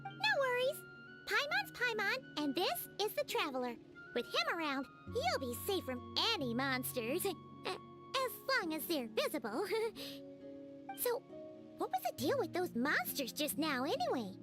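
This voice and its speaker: Fantasy game fairy companion: very high childlike squeak, lively pitch, chatty and cheeky, music underneath. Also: female voice, unhurried, heavily acted. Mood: friendly, chatty. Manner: refers to herself in third person, exclaims. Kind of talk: animated fantasy game voice acting.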